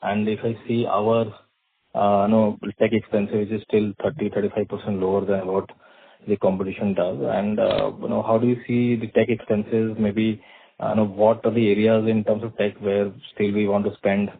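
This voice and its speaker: Male analyst, Indian accent, low husky voice with flat intonation, politely putting halting questions over a phone line. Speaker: financial analyst asking questions. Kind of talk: earnings call questioning. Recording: muffled, narrow phone line.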